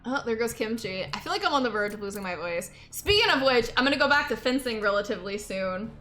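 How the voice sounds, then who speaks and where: A young adult woman streaming a game and chatting with viewers, slightly hoarse, talking in a loose, rambling way full of "like" and repeated thank-yous. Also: a little high, animated, quick pace, pushed and bright, faint music behind. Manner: chatty, many filler likes, repeats thanks. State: a bit hoarse, sore throat. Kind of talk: casual chat while gaming.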